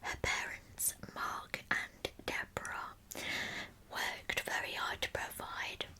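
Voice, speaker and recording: A woman speaking in a soft, unvoiced whisper as an ASMR host narrating a true crime story. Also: slow. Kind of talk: whispered ASMR storytelling, reading with chatty asides.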